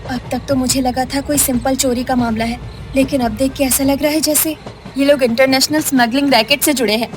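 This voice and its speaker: A woman's voice: high-pitched, fairly even intonation, a little fast, noisy background, close to an everyday voice. A woman playing frightened, protective young female relatives in a melodramatic story, anxious and urgent. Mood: fearful.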